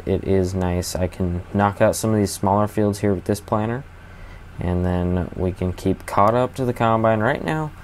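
A man with a notably low voice talks casually over a farming game he's playing, walking through each task as he goes. He rambles a bit and often pauses on ums and uhs. A faint hiss sits under the voice. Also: darkish tone. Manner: easygoing, rambling, narrates his actions with many fillers. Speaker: gaming channel host. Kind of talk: casual gameplay commentary.

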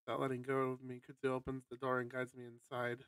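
A man's voice: medium pitch, completely flat delivery, fast talker, fairly bright tone, smooth, no room sound, distant mic. A man streaming a visual novel, reading its narration aloud in a dramatic storytelling style.

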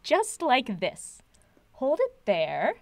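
An actress playing an eccentric, cryptic woman professor in an audio drama, breezy and theatrical with deadpan whimsy. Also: sits high, drawn-out words, no echo, exaggerated voice. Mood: gleefully eccentric.

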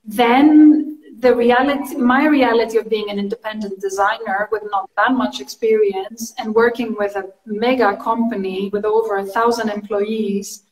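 A woman in her thirties whose first language is Greek, speaking English as a thoughtful, expressive interview guest on a video call. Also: a little high, moderate pace, few pauses, distant mic. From Greece. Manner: thoughtful, expressive, steady flow. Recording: roomy video call audio from off-mic.

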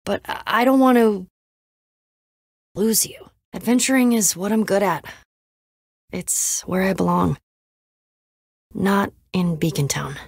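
A woman playing a guarded, sensitive young woman adventurer in a video game, with halting, emotional, conversational delivery. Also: mid-range voice, vocal fry, breathy edge, dry recording, clean studio sound, full character voice. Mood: earnest, sad.

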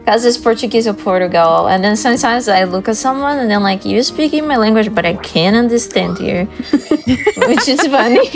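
A woman's voice: on the high side, animated, normal speed, music in the background. Playful woman, non-native English. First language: Italian. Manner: playful, amused, slightly broken English. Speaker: speedrun commentator. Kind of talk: casual commentary.

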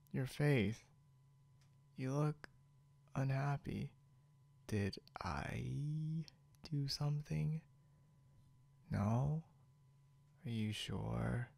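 A man playing a very slow, gravelly drunk guy. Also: mid-range voice, dark tone, heavily acted. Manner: rambling, slurred-slow, giggly, whispery at times. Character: drunk, tired, clingy, playful young man. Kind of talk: intimate ASMR boyfriend roleplay. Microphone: close microphone, dry.

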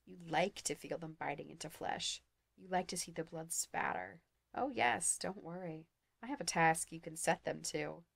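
A gloating male sorcerer, cruel and unhurried, as a woman reads him aloud. He speaks slowly in a low, gravelly register with a menacing calm. The sound is close and dry. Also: no room sound, full character voice. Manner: slow, silky, menacing.

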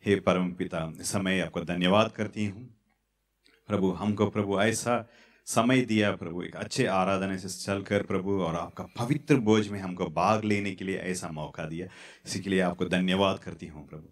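A man in his thirties preaching a sermon on a church stage into a handheld mic, halting and repetitive. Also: deep voice, fairly even intonation. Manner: halting, repetitive, fillers and restarts. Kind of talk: sermon with prayer. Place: church stage in front of curtains.

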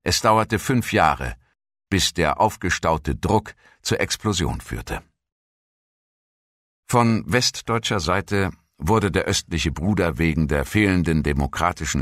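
A man reads scripted documentary narration in a measured, formal way. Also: low-pitched, fairly bright tone, no room sound, clean studio sound.

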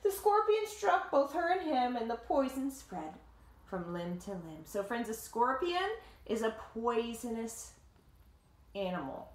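High-pitched, animated woman reading a storybook. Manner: dramatic, deliberate phrasing when reading, simple explanations for children. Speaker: teacher reading a picture book to children. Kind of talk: expressive storybook read-aloud with explanatory asides. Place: living room, indoors. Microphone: camera or phone a short distance away. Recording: roomy home echo.

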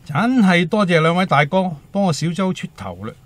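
A man's voice: high-pitched, big pitch swings, normal speed, no echo. An actor playing a talkative, timid male waiter with a Hong Kong accent and comic exaggeration in a radio drama. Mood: chirpy, deferential. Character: chatty, timid young male teahouse waiter, eager joker.

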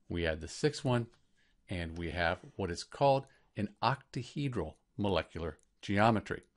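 A man teaching chemistry in scripted educational narration, explaining clearly and step by step. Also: fairly low pitch.

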